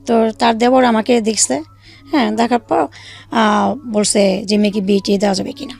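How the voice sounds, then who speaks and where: A woman telling her own life story in a halting way, with many fillers and restarts. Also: mid-range voice, steady tone, vocal fry, a little mellow, soft background music. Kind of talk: emotional personal storytelling.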